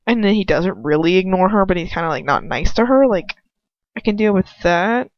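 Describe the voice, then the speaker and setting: A woman's voice: on the low side, expressive, brisk, dry recording. Informal woman reader, dull lo-fi recording. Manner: informal, self-conscious, giggly, swearing, hedging with "like". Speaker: amateur audiobook reader. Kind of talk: reading fan fiction aloud with casual chatty asides.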